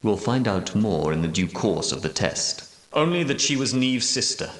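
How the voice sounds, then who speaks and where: An actor playing a solemn, commanding medieval knight and prophetic leader, speaking in grave, formal, archaic oratory for a video game. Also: male voice, lowish voice, big pitch swings, normal speed. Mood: storytelling, knowing. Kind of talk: theatrical video game character dialogue. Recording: roomy echo, slightly distant from mic.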